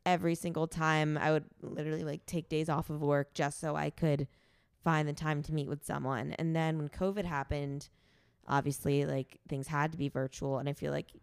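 A woman's voice: deep voice, flat delivery, moderate pace, gravelly, smooth, no room sound, studio quality. A woman, a podcast host in her late twenties, chatting informally in an interview and saying "like" often. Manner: chatty, informal, liberal use of "like". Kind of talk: casual in-person interview.

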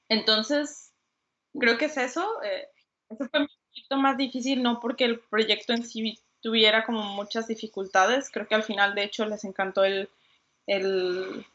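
A woman's voice: on the high side, fairly even intonation, measured pace, fairly bright tone, dull audio. A young Mexican woman, a designer, answering interview questions casually with many fillers and restarts. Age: young adult. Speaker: designer, guest.

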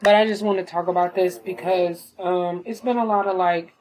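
A young woman with a slightly hoarse voice after an illness, rambling casually in a late-night monologue at home, full of fillers. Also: on the low side, steady tone, moderate pace, darkish tone, low-quality recording. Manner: rambling, filler-heavy, talks while gaming. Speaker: podcaster.

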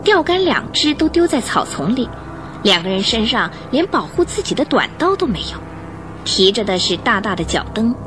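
Female storyteller for a mystery radio play, expressive and animated, mid-pitched, over a music bed. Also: normal speed. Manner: expressive, suspenseful. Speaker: narrator. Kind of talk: dramatic scripted storytelling for radio drama. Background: music under the voice.

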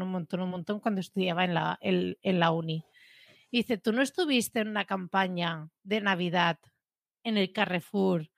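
A young woman from Spain, co-hosting a podcast, telling a story in lively casual chat with many restarts. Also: medium pitch, no echo. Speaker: podcast co-host.

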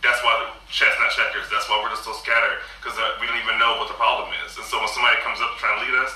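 Fast-talking man in an echoey room. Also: lowish voice, flat delivery, sharp tone. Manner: fast, loose talk with slang and swearing. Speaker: podcast host. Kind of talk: casual discussion. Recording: echoey room, distant mic.

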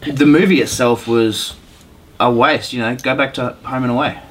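A man's voice: mid-range voice, steady tone, normal speed, slight background noise. A man chatting casually as a podcast co-host, informal, slangy and opinionated.

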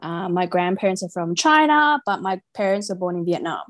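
A woman from Australia with an Australian accent, a podcast guest talking openly about her upbringing in a relaxed way. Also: lowish voice, a little fast, a little hiss or hum. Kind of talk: casual interview.

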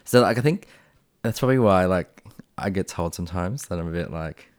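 A man's voice: low-pitched, lively intonation, fairly quick, no echo, studio quality. A young Australian man chatting casually as a podcast guest, rambling with lots of fillers and restarts. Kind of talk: casual chat.

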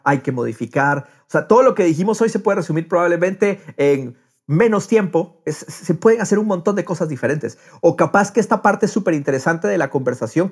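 A man's voice: fairly high pitch, breathy edge. A man in a casual podcast conversation, speaking with enthusiasm and repeating words as he thinks aloud. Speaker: podcast host.

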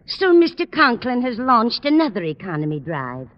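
A woman's voice: fairly high pitch, huge pitch swings, moderate pace. Echoing, kindly older landlady. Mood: indignant sympathy. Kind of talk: 1940s-50s radio sitcom acting. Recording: reverberant, dull, noisy old radio recording.

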